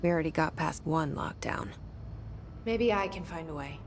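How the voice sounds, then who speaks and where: A woman's voice: sits low, moderate pace, a little hiss or hum. A woman playing a guarded, determined young agency director in a video game, American, speaking in a terse, introspective way. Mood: guarded, resolved. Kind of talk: cinematic video game dialogue and inner monologue.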